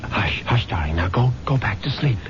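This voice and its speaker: An actor plays a scheming husband who narrates his crime in a low, smooth voice and coaxes his wife in calm, sinister tones. The sound is a dull vintage radio broadcast, with loud music underneath. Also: moderate pace, lightly acted. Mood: soothing, sinister. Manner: calm, coaxing, darkly amused. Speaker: narrator and lead character. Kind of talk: 1970s radio drama acting.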